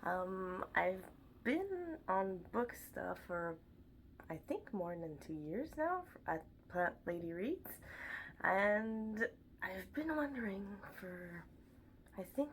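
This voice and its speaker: A woman's voice: medium pitch, a little hiss or hum. A young woman from Montreal with a Quebec French accent, chatting casually to camera about books, sounding nasal from a blocked nose. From Montreal, Canada. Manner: chatty, rambling, self-deprecating. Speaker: BookTube vlogger. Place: at home, indoors.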